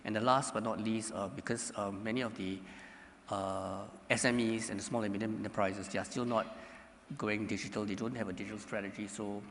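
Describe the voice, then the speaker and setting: A man from Singapore, speaking as a panelist in a measured, halting way with many fillers. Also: lowish voice, steady tone, slight background noise. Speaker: panelist, government economy official. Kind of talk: conference panel answer. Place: conference hall panel stage. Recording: reverberant conference hall.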